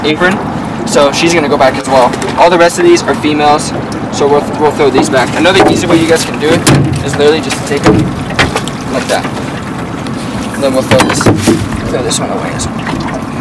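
A young man on a small open boat, casually explaining how to catch crabs, with ums and restarts. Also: a little high. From Oregon. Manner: relaxed, instructive, filler words. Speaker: vlogger. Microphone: action camera on the boat. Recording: distant mic, loud wind and water noise. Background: wind and water.

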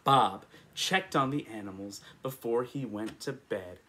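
A man in his twenties with an American accent reads a picture book to young children in a playful, expressive way, asking the listener questions. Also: medium pitch, unhurried, a little hiss or hum. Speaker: children's story reader. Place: indoors, small room in front of a patterned cloth.

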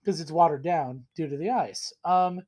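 A man in his thirties with a cold, hoarse and rambling as he tastes and reviews whiskey in a casual home vlog. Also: a little high, moderate pace, a little mellow. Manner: rambling, self-deprecating, enthusiastic. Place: small room indoors at home.

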